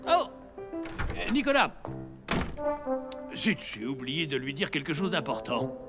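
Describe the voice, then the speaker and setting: A man's voice: a little high, big pitch swings, unhurried, over loud music. A man playing a jolly, absent-minded, kindly old Santa Claus in a French children's cartoon. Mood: jovial, distracted. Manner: cheerful, reassuring, scatterbrained. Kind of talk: French animated series dialogue.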